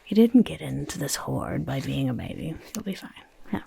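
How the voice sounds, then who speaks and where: A woman voicing a chaotic, eager little goblin overlord in a soft-spoken ASMR roleplay, with a creaky, raspy whisper and a fussy, repetitive manner. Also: very deep voice, sing-song, moderate pace, slight background noise, heavily acted. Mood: bossy, fussing. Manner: speaks of self in third person, fussy, repeats words.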